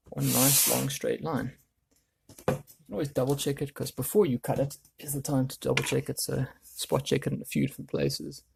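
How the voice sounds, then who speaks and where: Creaky-voiced man giving DIY instructions. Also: medium pitch, background noise. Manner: explanatory, thinking aloud while working. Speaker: DIY woodworking video host. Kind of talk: casual hands-on tutorial talk.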